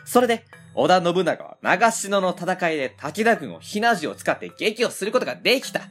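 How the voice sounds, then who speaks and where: Cast a woman as a folksy Kansai small-factory owner, late thirties, deep and lively, faint music behind. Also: moderate pace. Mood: storytelling. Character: easygoing 39-year-old male small-factory owner. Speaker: narrator and lead character. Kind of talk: amateur web radio drama.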